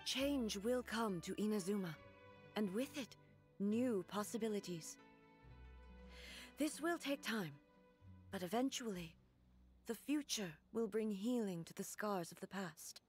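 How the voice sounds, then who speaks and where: Woman voicing a calm regal goddess queen, formal and grave, game voice, faint music under. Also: mid-range voice, unhurried, long pauses, natural voice. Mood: resolute. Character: solemn regal female god-ruler, duty-bound and composed. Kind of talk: game voice acting.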